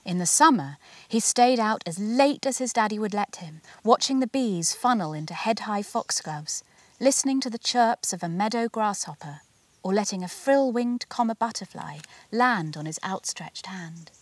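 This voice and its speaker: A woman narrating a children's picture book as its author, in an expressive, measured storytelling manner. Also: mid-range voice. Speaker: author reading their own picture book.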